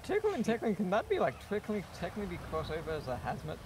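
Twenty-year-old male gamer, eager and a bit high, restarts his sentences, noisy voice-chat line. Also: wildly swooping pitch, very fast. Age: 20s. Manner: eager, chatty, stumbles over words. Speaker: fellow player. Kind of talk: casual gaming chat over voice comms.

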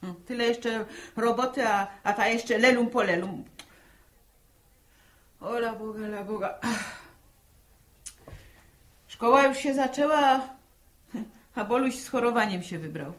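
An actress playing a sharp-tongued middle-aged village mother who nags in folksy rural phrases, in 1960s Polish radio drama style. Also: a little high, measured pace, long pauses, close to an everyday voice. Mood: grumbling. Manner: nagging, exasperated, folksy rural turns of phrase.

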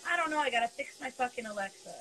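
A woman's voice: a little high, expressive, rapid-fire, a bit bright, a little hiss or hum. A young woman in her twenties chatting casually on a phone livestream from home, offhand and profane, with a stuffy nose. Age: 20s. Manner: offhand, profane, laughing asides. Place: indoors at home, apartment room. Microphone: phone camera at arm's length.

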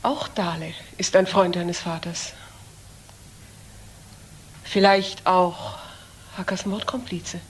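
A woman's voice: fairly low pitch, fairly even intonation, slow pace, long pauses. An actress playing a stern, well-to-do woman doctor in a crime radio play, speaking in a precise, grave, commanding way. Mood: grave, accusing. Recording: noticeable background noise, old broadcast audio.

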